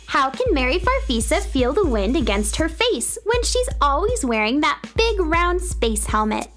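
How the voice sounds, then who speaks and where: A woman playing an eager, impatient young space girl with a childlike, expressive way of talking in a children's audio drama. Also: sits high, normal speed, soft background music. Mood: proud, explaining.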